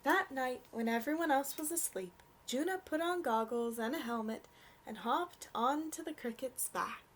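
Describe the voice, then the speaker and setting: A young adult woman reading a storybook aloud for children in an expressive, gentle way. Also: high-pitched, normal speed, slight background noise.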